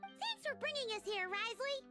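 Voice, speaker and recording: Squeaky, chirpy little fairy companion. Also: female voice, sing-song, moderate pace, over music. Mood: curious, eager. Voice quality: squeaky, childlike. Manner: perky, exclamatory. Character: small chirpy childlike fairy companion, eager and curious. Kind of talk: video game voice acting.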